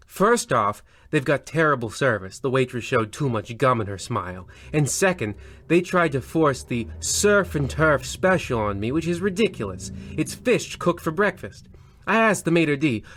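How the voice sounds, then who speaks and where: Man as pompous spooky-anthology narrator, petty and digressive; medium pitch, close dry studio sound. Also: fairly bright tone, dry recording, lightly acted. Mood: indignant rambling. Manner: pompous, rambling, indignant digressions. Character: pompous, petty horror-anthology radio host, easily sidetracked. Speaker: narrator and host. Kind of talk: parody old-time radio horror narration. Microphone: close studio microphone in recording booth.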